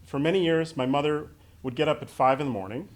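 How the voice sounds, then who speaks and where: A man's voice: a little high, quick pace. Heartfelt American man giving a eulogy. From Western New York State, United States. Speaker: son giving opening remarks at a memorial. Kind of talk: prepared eulogy speech to an audience.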